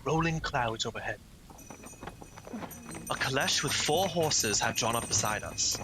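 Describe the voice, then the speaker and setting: A man's voice: medium pitch, animated, unhurried, background noise, natural voice. An actor playing an earnest, anxious young lawyer's clerk narrating his journal in a dramatic radio play style. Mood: uneasy. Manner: dramatic, urgent, mounting dread.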